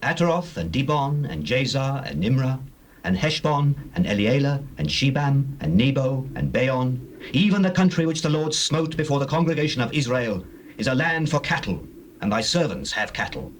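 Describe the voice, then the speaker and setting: A man's voice: medium pitch, animated, normal speed, lightly acted. Male actor doing humble bible tribe spokesman, formal, voice swoops up and down, faint cassette hiss. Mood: respectful pleading. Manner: deferential, formal. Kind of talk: dramatized King James Bible reading. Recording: noisy old cassette tape.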